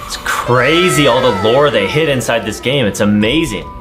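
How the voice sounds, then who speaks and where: A man's voice: medium pitch, lively intonation, normal speed. A man in his twenties giving casual, agreeable and amazed reactions as a gaming co-host. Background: faint game music.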